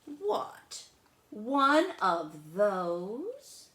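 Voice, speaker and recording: A woman voicing a persuasive, easily astonished boy, a storybook big brother, in an emphatic, drawn-out way. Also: mid-range voice, very animated, a bit bright, full character voice.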